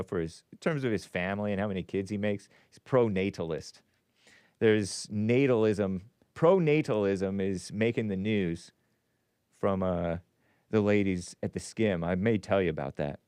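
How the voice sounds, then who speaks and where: A man's voice: low-pitched, a little mellow, clean tone, no echo, studio quality. A man hosting a call-in talk show, rambling through the news with opinions and frequent restarts. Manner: rambling, opinionated, self-interrupting. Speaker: host. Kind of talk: casual talk radio monologue.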